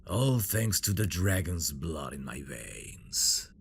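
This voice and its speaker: Man acting deep, gravelly dragon-blooded villain prince. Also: lively intonation, a little hiss or hum, exaggerated voice. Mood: triumphant, possessive. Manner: slow, brooding, menacing endearments, dropping into whispers. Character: obsessive menacing dragon-blooded villain prince, possessive lover. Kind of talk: ASMR roleplay, dramatic monologue.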